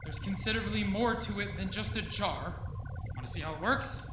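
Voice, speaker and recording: A man's voice: sits high, normal speed, noisy background, natural voice. An actor playing a proud, obsessive middle-aged scientist and father in a theatrical, declamatory old-time radio style. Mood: proud explaining. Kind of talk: live old-time radio drama acting. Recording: roomy live stage, loud music behind.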